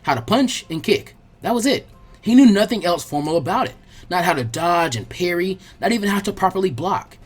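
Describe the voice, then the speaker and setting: A man reading a story aloud as an expressive, colloquial audiobook narrator, with a husky voice. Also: high-pitched, fairly quick, faint music behind. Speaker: fan fiction audiobook narrator.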